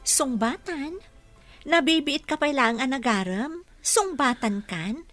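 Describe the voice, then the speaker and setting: A woman's voice: high-pitched, animated, takes it slowly, a bit bright, soft background music, heavily acted. A woman playing a coy, teasing young sweetheart in an Ilocano radio comedy drama, with an Ilocano accent.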